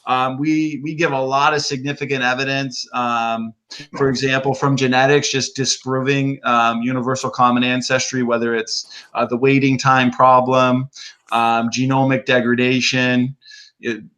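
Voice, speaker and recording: A man with a bright, forceful tone and a middle pitch hosts a relaxed podcast. He speaks with frequent "um"s as he sets up the topic and passes the floor to a guest. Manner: many fillers, introduces and hands over. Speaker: host. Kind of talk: casual podcast hosting.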